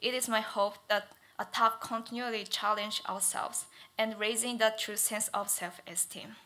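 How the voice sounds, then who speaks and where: Young woman, non-native English speaker, bright voice, halting thesis presenter at a lectern. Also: mid-range voice, fairly even intonation. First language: not English. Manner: stutters, careful with frequent fillers. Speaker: student presenter. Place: auditorium stage at lectern. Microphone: lectern mic.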